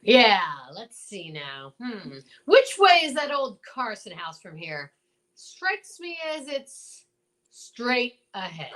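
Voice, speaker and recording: A woman's voice: a little high, big pitch swings, takes it slowly, a bit bright, exaggerated voice. A woman playing a folksy older male small-town marshal, hemming and exclaiming in old-time radio drama style. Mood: folksy, pleased.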